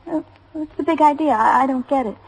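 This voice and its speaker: An actress playing a defiant, sassy suspect's wife in an old-time radio detective drama, talking tough, slangy and impatient. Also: female voice, sits high, a little fast, slight background noise, low-quality recording. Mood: irritated, wary.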